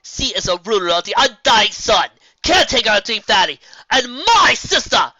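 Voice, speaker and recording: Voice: medium pitch, sing-song, moderate pace, dry recording, dull audio, voice pushed up. A narrator voicing a furious alicorn princess. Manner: commanding, archaic, shouting.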